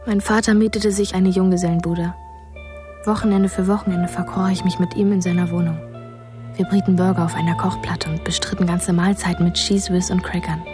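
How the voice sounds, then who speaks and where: A woman's voice: on the low side, level tone, fairly quick, over music, lightly acted. A woman narrating a son's sober childhood memories in a radio drama, restrained and matter-of-fact. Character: James Ellroy's childhood self, soberly recalling his parents.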